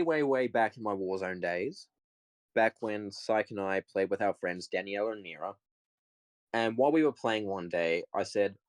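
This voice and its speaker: A man in his late teens co-hosting a podcast with jokey, deadpan, mock-boastful banter. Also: fairly low pitch, no echo, rough recording. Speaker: podcast co-host. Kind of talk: casual comedic banter.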